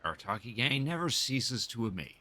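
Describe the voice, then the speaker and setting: A man's voice: medium pitch, sing-song, a little fast, bright, forceful tone, a little hiss or hum. A man giving casual, dry and wry commentary on a live game stream.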